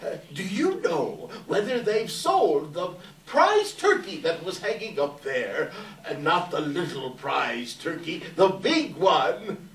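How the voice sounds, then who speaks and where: An old gentleman, giddy and kindly, acted by a man on stage in a theatrical, exclaiming way. His pitch swoops widely and his pace is unhurried. It is heard from a distance in an echoey hall. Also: very animated, exaggerated voice. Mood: giddy, delighted. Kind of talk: readers theater stage acting.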